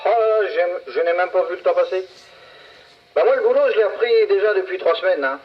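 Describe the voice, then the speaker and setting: A man's voice: high voice, brisk. A man chatting casually as a CB operator, hesitating and repeating himself. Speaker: CB radio operator. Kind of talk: casual chat. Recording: muffled CB radio transmission.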